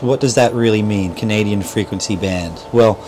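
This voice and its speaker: A man's voice: lowish voice, moderate pace, background noise. A middle-aged man giving an informal, step-by-step how-to demonstration as an instructor, often checking in with the listener. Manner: explanatory, step-by-step, checks in with "right?". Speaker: boat dealer instructor. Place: small boat cabin at a marina. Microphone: clip-on lavalier mic.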